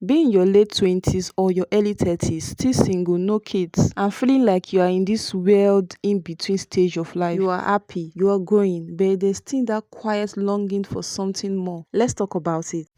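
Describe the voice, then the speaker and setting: A woman delivers a scripted introduction to the viewer at a measured pace. Her voice is mellow and dark in tone, and the recording is close and dry. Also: mid-range voice, fairly even intonation, dry recording.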